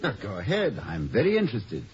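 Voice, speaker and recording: An actor playing a genial, fretful college president in a 1950s radio comedy, hesitant and professorial in manner. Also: male voice, mid-range voice, wildly swooping pitch, brisk. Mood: interested. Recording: dull, hissy vintage radio recording.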